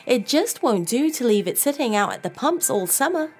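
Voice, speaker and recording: A woman's voice: high voice, big pitch swings, moderate pace, faint music behind, voice pushed up. A woman voicing a sweet, flirtatious shopkeeper with a Southern accent and a syrupy drawl. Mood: coaxing.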